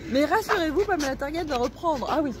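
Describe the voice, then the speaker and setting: A woman's voice: sits high, lively intonation, quick pace, over a noisy crowd. A woman chatting casually and playfully as a podcast host, recorded outdoors while walking along a street. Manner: playful, bantering. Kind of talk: casual chat. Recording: clear urban outdoor noise, passing traffic.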